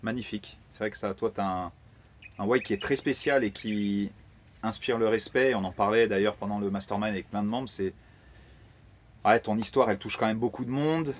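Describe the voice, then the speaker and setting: A man's voice: fairly low pitch, normal speed. A man hosting an interview, speaking in a friendly, encouraging way with plenty of casual fillers. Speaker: host interviewer.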